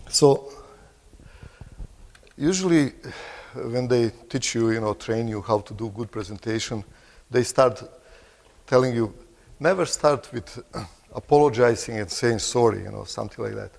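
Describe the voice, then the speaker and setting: A middle-aged man with a Croatian accent gives a conference talk in a big hall. His voice is gravelly, and the long reverb of the room trails behind each phrase. He pauses, restarts his sentences and clears his throat now and then. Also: medium pitch, a little mellow. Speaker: conference presenter. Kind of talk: conference presentation.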